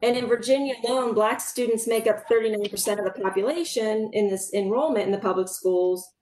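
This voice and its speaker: A middle-aged woman moderates a public town hall from home, talking earnestly with plenty of halting fillers. Her slightly raised voice comes through a webcam in an echoey room. A faint hiss sits under her words. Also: on the high side, darkish tone. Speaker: town hall host, local supervisor. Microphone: video call webcam.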